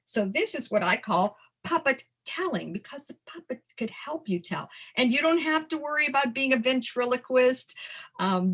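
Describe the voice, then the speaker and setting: A woman presenting a storytelling workshop in an animated, theatrical and encouraging conversational manner. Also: fairly high pitch. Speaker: storytelling presenter and early literacy educator. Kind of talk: workshop demonstration with storytime performance.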